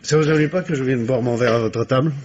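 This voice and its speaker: An actor playing a friendly, nosy reporter with a chatty, coaxing manner in a 1950s-style radio drama. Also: male voice, medium pitch, quick pace, dull audio, noisy background, lightly acted. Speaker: journalist.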